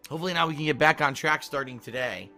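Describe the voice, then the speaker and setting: A male streamer with a hoarse, worn voice, casually running through his stream schedule, often clearing his throat and checking in with "okay?". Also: a little high, fast, sharp tone, soft background music. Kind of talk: casual pre-stream schedule talk to chat.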